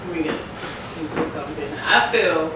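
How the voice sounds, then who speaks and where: A woman's voice: sits low, fast talker. A woman with an American accent, interviewing casually in slangy, informal speech. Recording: echoey room, distant mic, noisy background.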